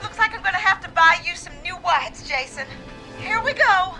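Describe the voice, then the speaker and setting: A desperate young caller in a dramatic horror game. Her voice is extremely high and bright, with a hesitant, apologetic, pleading delivery. Faint music sits behind her. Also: lively intonation, normal speed, full character voice, rough recording. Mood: nervous, shaky. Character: frightened, desperate young woman caller tending a wounded friend. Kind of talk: dramatic video game voice acting.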